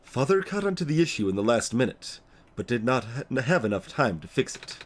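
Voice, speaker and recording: A man voicing an earnest, apologetic young game character who explains things gravely in a measured, hesitant way. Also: mid-range voice, sing-song, a little hiss or hum, natural voice. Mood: grave, explanatory. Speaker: let's play voice-over reader. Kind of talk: acted reading of game dialogue.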